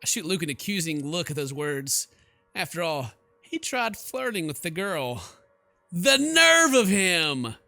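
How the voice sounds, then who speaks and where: A man streaming a game, giving animated, jokey commentary and reading the story narration aloud. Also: high-pitched, a little slow, vocal fry, dry recording, faint music behind. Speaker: gaming streamer.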